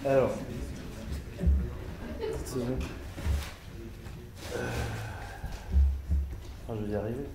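A man's voice: mid-range voice, steady tone, takes it slowly, long pauses, loud music behind the voice. Hesitant male speaker in an echoey hall. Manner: hesitant, many fillers, careful pronunciation of German names. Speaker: psychiatrist speaker on a panel. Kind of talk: academic conference talk and discussion. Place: large lecture hall. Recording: echoey hall with faint noise.

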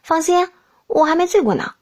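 A woman voicing a feisty, sulky young princess in an expressive audiobook style. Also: extremely high pitch, moderate pace, pitched above the performer's own voice. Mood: bold. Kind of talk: audiobook character acting.

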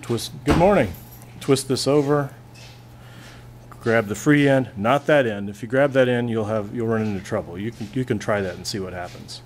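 A man's voice: mid-range voice, normal speed, a little hiss or hum. A male physics teacher at a classroom lab bench, plainly talking through a hands-on demonstration and thinking aloud as he goes.

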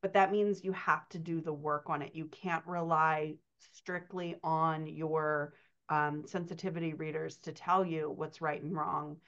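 A woman in her thirties talks shop as a writing coach on a video call, chatty and quick to laugh. Her voice sits fairly low. The call audio is dull and lo-fi. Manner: chatty, laughs often, animated storytelling. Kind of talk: casual podcast chat over video call. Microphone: computer mic on video call.

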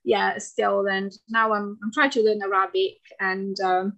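A woman's voice: medium pitch, little pitch movement, unhurried, vocal fry, lo-fi sound. A woman whose first language is Italian, speaking English as a guest in a casual video-call interview, hesitant with frequent ums. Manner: hesitant, many ums, warm laughs. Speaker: guest, mature medical student. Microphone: video call webcam.

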